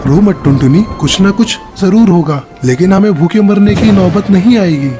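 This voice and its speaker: A woman voicing a calm, reassuring male pigeon husband in an earnest Hindi children's cartoon style. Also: mid-range voice, normal speed, music in the background, close to an everyday voice. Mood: comforting.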